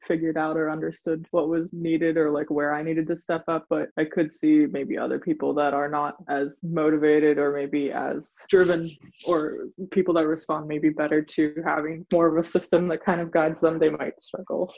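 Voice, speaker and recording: A man answering interview questions in a casual, chatty way, chuckling often and hedging with soft qualifiers. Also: fairly high pitch, flat delivery, moderate pace, creaky. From United States. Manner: chuckles often, hedges with "maybe" and "kind of". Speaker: interview guest, former exchange student. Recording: dull lo-fi recording.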